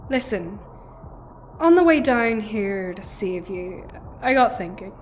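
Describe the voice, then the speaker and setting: A woman playing a calm, teasing, forthright wife in a sci-fi audio drama, with deliberate phrasing. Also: mid-range voice, a little hiss or hum.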